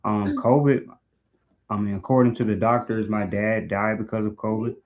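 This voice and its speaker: A man introducing himself on a video call in a relaxed, plain way with a few ums. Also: lowish voice, a little fast, highs cut off. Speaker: new recruit, brand ambassador. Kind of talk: casual Zoom introduction.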